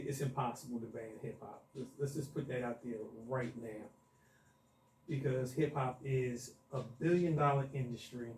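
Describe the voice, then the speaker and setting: A man hosting a radio talk show, putting opinionated questions in a measured way. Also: medium pitch, a little mellow, echoey room, far from the mic, slight background noise, poor audio. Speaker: radio host. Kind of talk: radio interview.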